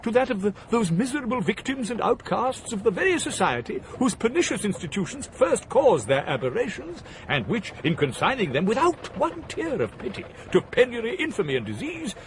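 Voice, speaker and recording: An actor playing a misanthropic gentleman philosopher in a period radio drama, declaiming with a British accent in vehement, grandiloquent rhetoric. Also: very high voice, big pitch swings, brisk, few pauses, slight background noise, low-quality recording. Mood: ranting, bitter.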